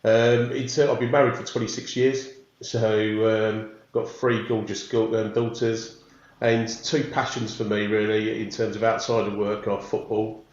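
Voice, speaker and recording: A man's voice: on the low side, level tone, a little slow. A man in his forties from England, friendly and proud as he talks about his family and interests as a podcast guest. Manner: friendly, proud, self-deprecating. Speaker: podcast guest, business leader. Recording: very echoey room, dull audio.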